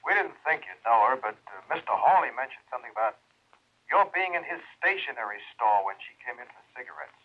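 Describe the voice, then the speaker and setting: An actor playing a polite state police lieutenant calling on the phone in an old radio drama, with a smooth, courteous manner. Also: male voice, mid-range voice, sing-song, fast. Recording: muffled old radio recording.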